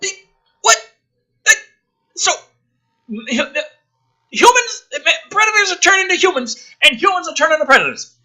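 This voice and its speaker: Animated, sharp-voiced man ranting through a script. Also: very high voice, normal speed, long pauses. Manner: ranting, incredulous, profane; theatrical, emphatic. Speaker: host reviewing and reading a film script. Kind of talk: script reading with exasperated comedic commentary.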